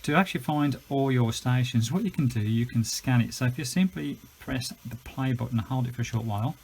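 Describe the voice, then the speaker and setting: A man's voice: medium pitch, fairly quick, a little mellow, a little hiss or hum. A British man giving a chatty, enthusiastic, unscripted gadget review, explaining things as he goes. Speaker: product reviewer.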